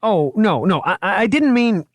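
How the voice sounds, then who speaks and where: Man doing eager young male curator, high and lively, jittery, dry close sound. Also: no room sound. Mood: flustered. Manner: eager, rapid, stammering when flustered. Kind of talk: audiobook character voice.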